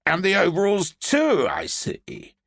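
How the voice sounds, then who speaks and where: Guy doing a cranky blunt general, gravelly, lots of swing in the pitch. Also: wildly swooping pitch, unhurried, a bit bright, no room sound, studio quality, full character voice. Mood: brusque. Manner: gruff and brusque. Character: irritable, blunt middle-aged male British general.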